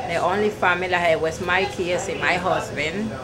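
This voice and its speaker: A woman's voice: lowish voice, moderate pace, a crowd in the background. A middle-aged woman with an Ecuadorian Spanish accent, telling her own story in an interview. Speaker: restaurant owner interviewee. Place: indoor restaurant dining room. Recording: clearly noisy room ambience.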